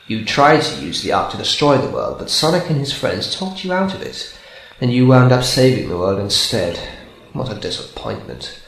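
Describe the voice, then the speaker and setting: Radio-drama villain: taunting clawed robot, acted by a man, animated and needling, recorded in a roomy space with faint noise. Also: medium pitch, moderate pace, creaky. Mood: scornful storytelling. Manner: gloating, mock-sweet needling. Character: sadistic, taunting male robot hunter with claws.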